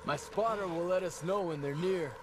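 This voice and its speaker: A man's voice: high voice, normal speed, background noise, lightly acted. A young man playing an earnest, urgent rebel fighter in a video game cutscene. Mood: tense. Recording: game audio with very loud score.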